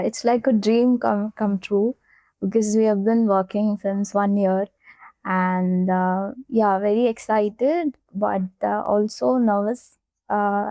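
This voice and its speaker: A young woman from Delhi talks in Indian English, pausing on fillers as she answers podcast questions. Her tone is mellow and the close studio sound is dry. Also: medium pitch, no echo, rough recording. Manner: hesitant, many fillers. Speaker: nursing candidate, guest.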